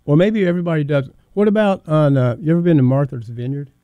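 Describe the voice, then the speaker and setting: A man's voice: on the high side, quick pace, dry recording, highs cut off. A man hosting a radio show, chatting casually with teasing questions and stammered restarts. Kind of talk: casual talk radio banter.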